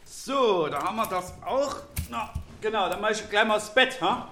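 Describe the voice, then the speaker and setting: A woman's voice: mid-range voice, moderate pace, bright, forceful tone. A woman working as a nursing home carer, talking in a colloquial, joking way on the job, recorded in an echoey room. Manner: jokey, colloquial. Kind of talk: casual joking talk on the job. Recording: echoey room, faint music.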